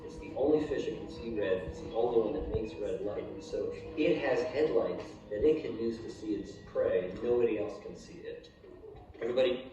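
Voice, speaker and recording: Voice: mid-range voice, a little fast. A speaker explaining science to children in short, plain, emphatic statements with vivid wording. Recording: distant echoey room, muffled, crowd noise.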